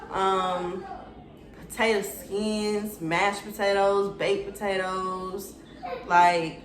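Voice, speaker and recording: Young woman in her twenties, Atlanta accent, relaxed slangy vlogger talking to the camera, echoey room, faint hum behind. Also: mid-range voice. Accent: Atlanta Southern US. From Atlanta, Georgia, USA. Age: 20s. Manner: chatty, slangy, addresses viewers directly. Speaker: YouTuber. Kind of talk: casual get-to-know-me Q&A.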